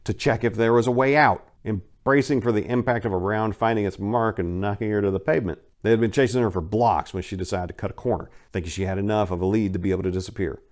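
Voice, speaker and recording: A man giving an intense, expressive dramatic reading of a scripted crime story as its narrator. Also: fairly low pitch, quick pace, few pauses.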